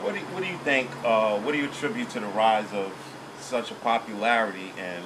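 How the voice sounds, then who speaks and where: A man hosting an informal local interview, speaking haltingly and often repeating his words. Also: lowish voice, noisy background. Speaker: interviewer and host of a local business show.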